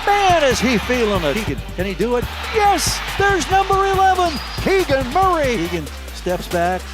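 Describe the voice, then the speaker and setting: A man calling basketball play-by-play live, building excitedly to shouts. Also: very high-pitched, normal speed, over loud music. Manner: rising to shouts.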